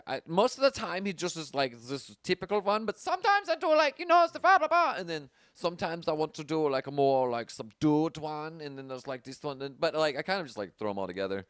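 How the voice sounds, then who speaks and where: A man's voice: medium pitch, fairly bright tone, clear, resonant tone, dry recording. Joking male podcast host, dry sound. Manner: joking, swears freely, quick asides. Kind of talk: casual chat.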